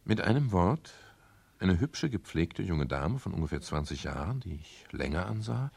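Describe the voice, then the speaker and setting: Wry private detective, gravelly low voice. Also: male voice, very animated, normal speed, a little mellow, heavily acted. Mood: dry narration. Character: private detective, middle-aged man, irritable yet wry and charming. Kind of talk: 1970s German radio crime drama acting and first-person narration.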